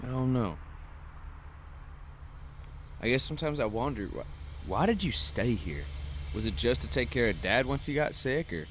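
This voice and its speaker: A world-weary, wry Texan played by an actor, a gruff man home again and nursing injuries. He speaks in a rural Southern accent, in naturalistic radio drama style. A clear rumbling noise sits behind his voice. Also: big pitch swings, moderate pace, long pauses, close to an everyday voice. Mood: subdued, wondering. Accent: Southern US, rural Texas.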